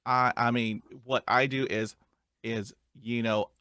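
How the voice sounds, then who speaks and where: A man's voice: mid-range voice, flat delivery, takes it slowly, sharp tone, clear, resonant tone, no room sound, studio quality. A middle-aged man who stutters, hosting a podcast, chatting with many fillers. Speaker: podcast host. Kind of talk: casual interview talk.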